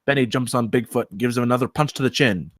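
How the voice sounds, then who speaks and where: A man's voice: medium pitch, fast talker, no echo, studio quality. A man reading stage directions as scripted narration at a comedy screenplay table read.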